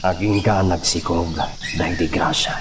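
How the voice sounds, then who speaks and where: A man's voice: deep voice, fairly even intonation, normal speed, heavy background noise, close to an everyday voice. A man with a Filipino accent playing a sorrowful older father in a radio drama, recounting his past in short confessional phrases. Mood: sorrowful, remembering. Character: sorrowful old father recounting lost love and son. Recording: loud music bed behind the voice.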